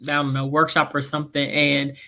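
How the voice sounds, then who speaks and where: A woman with a bright, ringing voice, hosting a laid-back panel chat over a video call. She talks in a chatty, personal way and laughs easily, and she is a bit sniffly while she gets over a cold. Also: low-pitched, completely flat delivery, fairly quick. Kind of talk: casual panel conversation.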